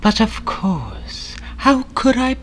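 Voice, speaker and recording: A man's voice: extremely high pitch, big pitch swings, takes it slowly, noisy background, exaggerated voice. An actor playing an arrogant, ruthless male renegade time-traveller in an audio drama, with a theatrical, mocking manner.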